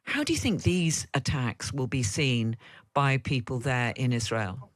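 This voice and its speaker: A man's voice: medium pitch, lively intonation, measured pace, dry recording. A man presenting news and putting questions to a guest in a broadcast interview style.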